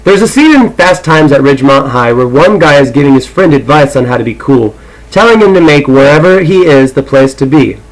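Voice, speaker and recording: A man's voice: a little high, expressive, a little fast, poor audio. A man with an irreverent, foul-mouthed manner, talking casually and reading aloud as an amateur audiobook reader.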